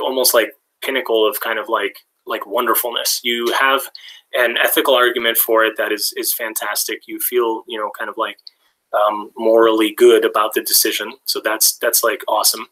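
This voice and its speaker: A man's voice: mid-range voice, sharp tone, low-quality recording. A man hosting a casual livestream, answering chat questions in a rambling way with many fillers and restarts. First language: English and Hungarian. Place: lives in Hungary.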